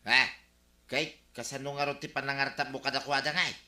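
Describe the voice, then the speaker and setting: A man's voice: medium pitch, level tone, a little fast, sharp tone. An actor playing an ambitious, conniving village man in a Filipino comedy radio drama, with an Ilocano accent. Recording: roomy echo, music underneath.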